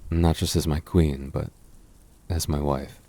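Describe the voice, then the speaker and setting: A man playing a devoted young fantasy prince in a romantic roleplay, speaking tenderly and haltingly with gentle teasing. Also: a bass voice, little pitch movement, exaggerated voice. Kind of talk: romantic boyfriend roleplay ASMR.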